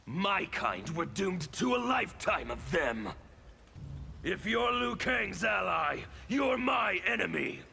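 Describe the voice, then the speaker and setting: Gravelly, fiercely animated chaos villain. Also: male voice, high voice, slow, a little hiss or hum, exaggerated voice. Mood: righteous anger. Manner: grandiose, defiant declarations. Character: scarred, fanatical male revolutionary agent of chaos. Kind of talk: theatrical fighting-game villain acting.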